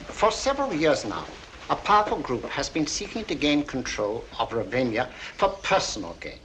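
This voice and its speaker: An actor playing an earnest, formal, courteous older prime minister in a 1940s film. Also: male voice, medium pitch, sing-song, moderate pace, a bit bright. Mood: grave. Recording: faintly noisy old 1940s film soundtrack.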